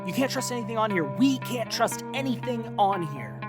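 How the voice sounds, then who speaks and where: High-voiced, furious actor as betrayed young-sounding man. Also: animated, normal speed. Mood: angry, disgusted. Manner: blunt, profane, confrontational. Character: furious, hot-headed male friend who feels betrayed. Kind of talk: audio drama dialogue. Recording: guitar music behind the voice.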